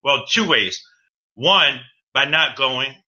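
A man's voice: mid-range voice, lively intonation, slow, pushed and bright. A man who hosts his own show, talking earnestly about religion with many stammered restarts. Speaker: co-host, radio show host. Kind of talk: religious talk.

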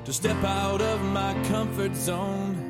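A woman singing a slow worship song. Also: mid-range voice, flat delivery. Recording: recorded song with loud music.

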